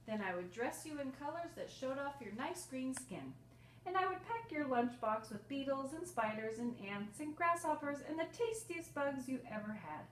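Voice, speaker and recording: A woman's voice: high-pitched, animated, normal speed, a bit bright, pitched above the performer's own voice. A woman voicing a loving, patient storybook mother with a tender, singsong, reassuring manner. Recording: roomy, distant mic, faint noise.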